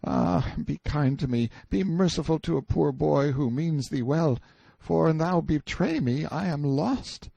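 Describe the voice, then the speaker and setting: A man's voice: on the high side, wildly swooping pitch, slow, warm tone, husky, no echo, dull audio, pitched above the performer's own voice, heavily acted. A man voicing a timid young medieval page boy who speaks in archaic, courtly exclamations in an audiobook story. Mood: fearful, pleading. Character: timid, light-hearted medieval page boy, superstitious and easily frightened.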